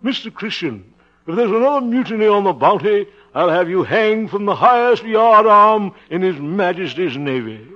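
A man's voice: sits high, animated, normal speed, voice pushed up. A man voicing a stern, menacing sea captain as a hammy movie impression in 1950s radio comedy. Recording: dull vintage 1950s radio recording.

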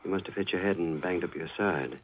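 A man's voice: deep voice, brisk. A coldly vengeful man. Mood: coldly calm. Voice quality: quiet, sinister, controlled. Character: cold, vengeful man, calm menace. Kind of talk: 1940s radio drama acting. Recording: dull old radio broadcast with faint hiss.

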